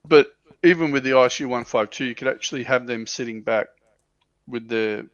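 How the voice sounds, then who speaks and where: A man's voice: mid-range voice, a little fast, no room sound. A man co-hosting a livestream, casually explaining tabletop game units as an informal, somewhat hesitant enthusiast on slightly dull audio. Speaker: livestream co-host.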